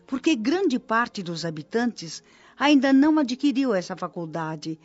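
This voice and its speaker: A woman playing a kindly, wise old spirit nurse in a radio drama, with a Brazilian accent and a gentle, instructive delivery. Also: on the high side, sing-song, measured pace, no echo. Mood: wise, serene. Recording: dull lo-fi audio with music bed. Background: background music.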